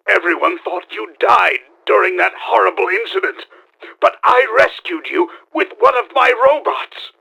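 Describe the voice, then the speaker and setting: A bombastic male mad scientist villain from a cartoonish video game. His voice is raspy and forceful, swinging widely in pitch. He speaks with pompous, excitable theatricality, as a middle-aged schemer. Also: heavily acted. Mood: earnest, grave. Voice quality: raspy, gravelly.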